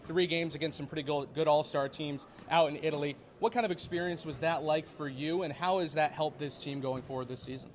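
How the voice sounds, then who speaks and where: Young male sports reporter, slightly high voice. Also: fairly even intonation, a little fast, few pauses, slight background noise. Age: young adult. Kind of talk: broadcast sports interview and feature narration.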